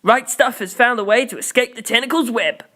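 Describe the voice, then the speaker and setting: An eager, cocky male crewman, voiced by an audiobook narrator. He explains things briskly and confidently, in a pitch lifted above ordinary talk. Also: pushed and bright, lightly acted. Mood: excited, confident.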